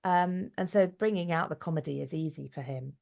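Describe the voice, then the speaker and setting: A middle-aged woman giving a casual interview, telling stories in a chatty, animated way. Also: deep voice, a little fast, creaky. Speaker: interview guest, actress and director.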